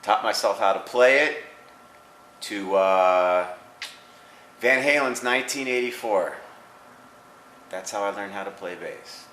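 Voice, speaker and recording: An American man from California, a bass player chatting casually in a band interview. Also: slow, long pauses, bright, forceful tone. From Bakersfield, California, USA. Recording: roomy echo, faint noise.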